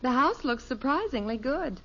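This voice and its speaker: Wry middle-aged American radio mom, fairly high expressive voice, polite, dull vintage broadcast sound. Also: female voice, normal speed. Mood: gently wry. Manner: polite, gently wry, unruffled. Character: gentle, wry middle-aged suburban wife and mother. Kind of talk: 1950s radio sitcom acting.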